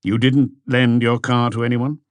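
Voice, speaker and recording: A man voicing a calm chief inspector in an audiobook, asking questions in a measured, politely insistent way. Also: medium pitch, dry recording, natural voice. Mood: probing. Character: calm, probing male police chief inspector.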